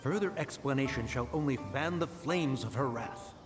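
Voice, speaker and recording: A man's voice: mid-range voice, normal speed, over music, lightly acted. A man playing a stern, worried immortal in a video game, scolding in pompous, archaic language. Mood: alarmed scolding. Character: stern, anxious, scolding male immortal adeptus. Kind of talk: video game cutscene acting.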